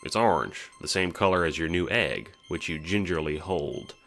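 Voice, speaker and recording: A man's voice: sits low, lively intonation, moderate pace, creaky, fairly bright tone. A man reading scripted second-person narration for an audio drama.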